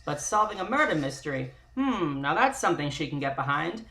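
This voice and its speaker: A woman's voice: low voice, very animated, fairly quick, sharp tone, rough recording. A woman hosting a live-streamed table read, introducing it and narrating from a script.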